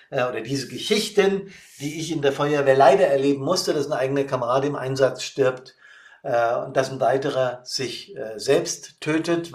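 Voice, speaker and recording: A man's voice: mid-range voice, breathy edge. Chatty man in his sixties. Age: 60s. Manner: chatty, warm-hearted, with frequent fillers. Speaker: podcast host and fire service trainer. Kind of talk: casual podcast talk and live session.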